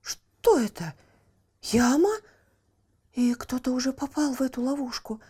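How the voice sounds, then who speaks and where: A woman's voice: high-pitched, measured pace, warm tone, breathy edge, voice pushed up. A woman voicing a kind, brave and eager young boy in an audio fairy tale, earnest and exclaiming. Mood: encouraging.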